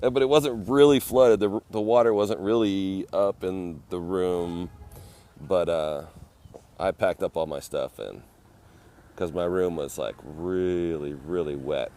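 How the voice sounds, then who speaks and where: A man's voice: low voice, a little slow, dark tone, slight background noise. A man livestreaming outdoors from a phone with an ear-worn mic, chatting casually, rambling, and greeting viewers by name. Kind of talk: casual live-stream chat. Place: outdoors at a garden cafe.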